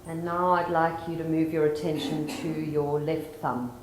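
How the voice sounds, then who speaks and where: A South African psychologist speaking to a conference audience and calmly guiding them through mindfulness exercises. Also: female voice, deep voice, background noise. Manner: calm and guiding, slowing for instructions. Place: large conference hall. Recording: roomy hall with faint ambience.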